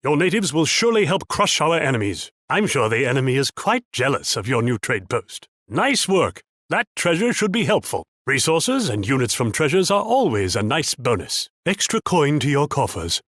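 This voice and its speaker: An actor playing a proud, wealthy military ruler in a video game, pompous and condescending in a theatrical way. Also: male voice, on the high side, sing-song, moderate pace, fairly bright tone, no room sound, studio quality. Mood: approving, smug. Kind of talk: video game character voice lines.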